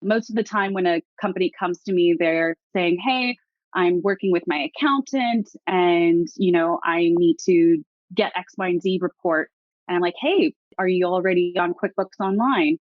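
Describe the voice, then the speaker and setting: A woman's voice: fairly low pitch, normal speed, no room sound, lo-fi sound. A woman who works in customer success, answering in an upbeat, promotional way. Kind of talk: casual interview answer.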